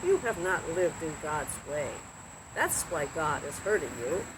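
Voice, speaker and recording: A woman's voice: low voice, unhurried, pitched below the performer's own voice. A woman voicing stubborn, accusing friends from a children's storybook, blunt and insistent. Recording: very noisy recording with steady background noise.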